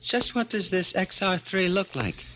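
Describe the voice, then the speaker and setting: Melodramatic, bitter stunted stage performer. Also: male voice, sits high, animated, very noisy. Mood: anxious. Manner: melodramatic, halting and stammering when pleading. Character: stunted vaudeville performer, bitter narrator, desperately hopeful pleader. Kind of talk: old-time radio drama acting, first-person narration.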